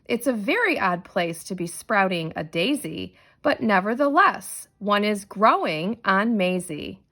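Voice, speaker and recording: A woman's voice: mid-range voice, lively intonation, a little slow, bright, forceful tone, exaggerated voice. A woman voicing a brainy, matter-of-fact young schoolboy from a storybook.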